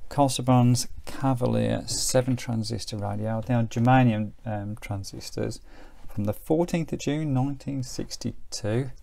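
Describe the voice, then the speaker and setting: A middle-aged British man giving a casual, unhurried hands-on commentary as he demonstrates something, thinking aloud as he goes. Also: medium pitch, vocal fry, warm tone. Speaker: presenter demonstrating a vintage radio. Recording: faint background noise, clicks of handling.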